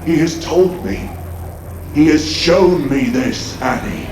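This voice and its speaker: A man's voice: on the high side, slow pace, darkish tone, a little hiss or hum. An actor playing a menacing possessed man merged with an alien horror, taunting and grandiose, in a sci-fi horror audio drama. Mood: zealous, ominous. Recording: roomy echo over music.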